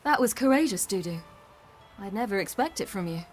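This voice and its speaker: A woman's voice: mid-range voice, animated, a little fast, faint music behind, close to an everyday voice. An actress playing a resolute young woman warrior bent on payback in a fantasy video game. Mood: relieved.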